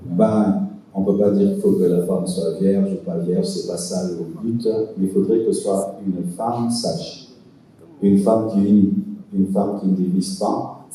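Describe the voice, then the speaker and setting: A man gives earnest, sermon-like advice to couples, pausing for emphasis. His voice is low and very dark. He is heard from a distance in a strongly echoing hall, with a faint hiss behind him. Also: little pitch movement. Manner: earnest, preaching with emphatic pauses. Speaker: pastor answering couples' questions. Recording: echoey hall from far off, faint noise.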